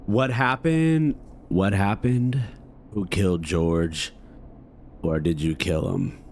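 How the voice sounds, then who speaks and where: Deep, gravelly male game streamer. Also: takes it slowly, a little mellow, a little hiss or hum. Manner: slangy, musing to himself. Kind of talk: casual gameplay commentary.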